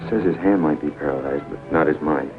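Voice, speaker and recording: An actor playing a grave, gentle young doctor, sober and restrained in a 1940s film melodrama. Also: male voice, rumbling low, fairly quick, over music. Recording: narrow-band 1940 film soundtrack.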